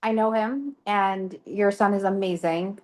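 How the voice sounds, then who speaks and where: A woman's voice: mid-range voice, measured pace. A woman interviewing a guest, chatty and enthusiastic in casual conversation.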